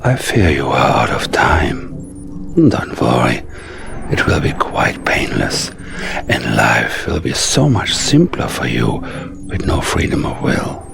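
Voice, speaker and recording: A sinister psychic dictator with a low, gravelly voice. He speaks slowly and hypnotically, commanding with calm menace in a video game cutscene, echoing from a distance under loud music. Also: heavily acted. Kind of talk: dramatic villain acting in game cutscenes.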